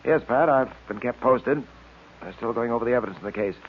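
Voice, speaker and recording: An actor playing a calm, shrewd police detective in an old radio drama, with a businesslike, authoritative manner. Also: male voice, medium pitch, a little hiss or hum, close to an everyday voice. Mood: calm, assured. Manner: businesslike, measured wording. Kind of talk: 1940s radio drama acting. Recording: tinny old radio recording.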